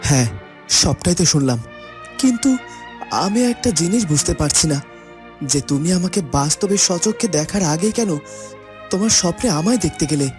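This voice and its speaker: Man voicing an earnest young prince, lively intonation. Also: high voice, a little fast, pauses often. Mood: thoughtful. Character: earnest, reassuring young prince, calm and noble. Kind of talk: Bengali fairy-tale cartoon dubbing. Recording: loud music under the voice.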